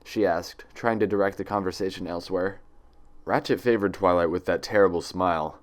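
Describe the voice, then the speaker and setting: A man's voice: low voice, a little fast. A man reading fan fiction aloud as a dramatic, scripted story narration.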